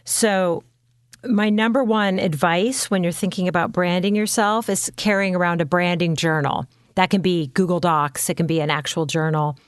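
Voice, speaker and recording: A woman's voice: on the low side, fairly even intonation, moderate pace, clear, resonant tone, no echo, studio quality. A woman brand consultant coaching in a casual chat, talking freely with frequent ums and you-knows.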